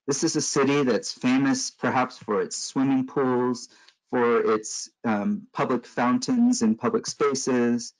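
A middle-aged man from Los Angeles with an American accent, giving a conference lecture over slides in a measured, explanatory way with frequent fillers. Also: mid-range voice, rough recording. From Los Angeles, California.

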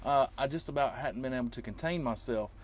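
A man's voice: medium pitch, fairly quick, slight background noise. A man in his thirties talking informally to vlog viewers, explaining emphatically and repeating himself to drive points home. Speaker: trucker vlogger.

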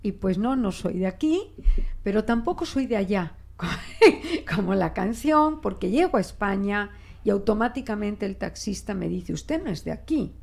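An older woman from Spain with a Spanish accent softened by Mexican Spanish, a linguist talking expressively in a studio interview at a desk microphone. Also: medium pitch, moderate pace, creaky. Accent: Spanish from Spain, adapted toward Mexican Spanish. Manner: expressive, reflective, with frequent restarts and gestures. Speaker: guest linguist. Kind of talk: conversational interview. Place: small studio office, indoors.

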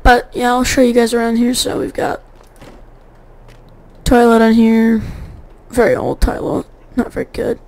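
Woman, gameplay commentary, rambling and chatty, sore gravelly voice. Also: on the high side, monotone, measured pace, long pauses, darkish tone. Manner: rambling, self-correcting, chatty. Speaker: gaming YouTuber.

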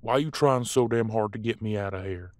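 A man playing a surly, distrustful werewolf in an audio roleplay, gruff and confrontational. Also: fairly low pitch, fairly quick, a little mellow, no room sound, natural voice. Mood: suspicious. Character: surly, distrustful male werewolf pack member.

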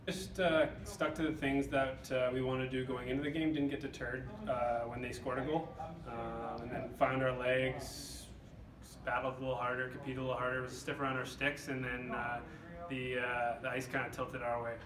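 Flat-voiced young player, echoey room. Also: male voice, medium pitch, moderate pace, far from the mic. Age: 20s. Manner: plain and matter-of-fact, with many uh fillers. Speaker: team captain, hockey player. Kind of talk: postgame interview. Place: indoor press backdrop room. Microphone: far camera mic. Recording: echoey room, off-mic, crowd behind.

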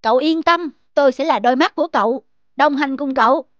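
A woman's voice: sits high, brisk, dry recording, dull audio, close to an everyday voice. A woman voicing a friendly, eager young dog in a cartoon, with an earnest, coaxing manner. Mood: earnest, reassuring. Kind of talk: dubbed cartoon acting.

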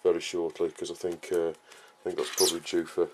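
A man giving a casual, explanatory gardening talk in a halting, unscripted way, as a vlogger would. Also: sits low, flat delivery. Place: outdoor vegetable garden and greenhouse. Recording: clearly noisy outdoor ambience, uneven distance.

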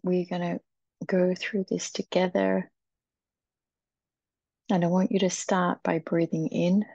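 A woman leading a guided meditation in a soft, breathy, soothing voice, with short phrases and long pauses. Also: lowish voice, flat delivery, dry recording. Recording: dull, muffled, dry recording.

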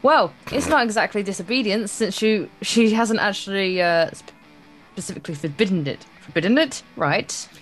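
A woman's voice: mid-range voice, expressive, moderate pace, low-quality recording. A woman co-hosting a let's play, reading a visual novel aloud with playful, casual banter. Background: soft guitar music.

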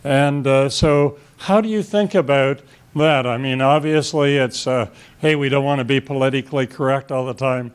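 A man from Canada asking a question from the audience. He rambles and hedges, circling his point before he reaches it. His voice comes through smooth and clear. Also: medium pitch, moderate pace. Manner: rambling, hedging. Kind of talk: question from the floor.

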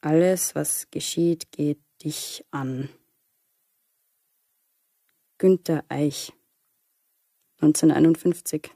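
Low, slow, grave female reciter. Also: steady tone, vocal fry, dark tone, slightly rough, no echo, clean studio sound, exaggerated voice. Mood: grave, melancholy. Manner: measured, grave. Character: grave, melancholy female reciter of admonishing verse. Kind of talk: solemn poetry recitation in radio drama.

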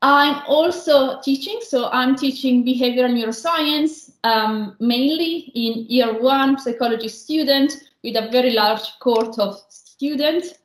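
A woman from northern Italy with a strong Italian accent, giving an online lecture as a senior lecturer, explaining slowly with many pauses. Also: high-pitched. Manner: measured, explanatory, frequent um and uh pauses. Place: indoor room, remote video call. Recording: roomy echo.

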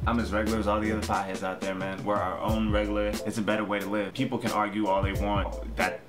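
A man's voice: lowish voice, level tone, fast, over music. A laid-back American man in his twenties chatting casually to camera as a vlog host, using slang and swearing freely. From Hawaii and Washington state, United States. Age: 20s. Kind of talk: casual chat to camera, answering viewer questions. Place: small room at home, indoors.

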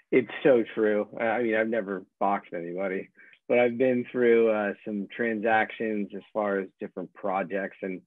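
A man in his thirties chatting casually and haltingly on a video call, with thin, phone-like sound. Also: fairly low pitch, no room sound. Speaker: host of an online convention. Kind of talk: casual chat. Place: small room indoors, on a video call.

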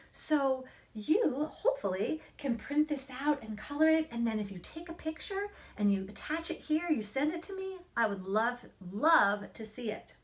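A woman in her forties, an author reading and explaining things to children in an expressive, emphatic storytelling manner. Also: fairly high pitch. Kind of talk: expressive picture-book read-aloud and explanation to children. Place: small indoor room against a plain wall.

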